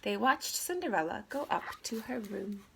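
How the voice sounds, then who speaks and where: A woman narrating a children's story aloud in an expressive, theatrical way. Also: medium pitch, normal speed, gravelly, a little hiss or hum. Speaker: storybook reader.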